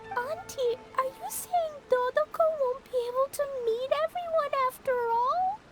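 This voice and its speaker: A woman voicing a cheerful, innocent and excitable little girl in a video game, with an earnest childlike manner. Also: extremely high pitch, measured pace, music in the background. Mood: pleading. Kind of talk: English video game voice acting.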